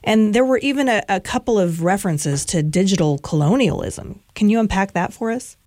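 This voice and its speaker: Female interviewer, animated delivery, dry close sound. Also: medium pitch, a little fast, dry recording. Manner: engaged, inquisitive. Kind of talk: interview questions.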